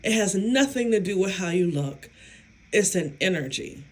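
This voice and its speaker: A woman vlogger and psychic medium talking casually to her viewers, with a hoarse, raspy voice and an emphatic, self-assured way of speaking. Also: mid-range voice, lively intonation. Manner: slow, emphatic, self-affirming, laughs often. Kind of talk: casual talk to camera.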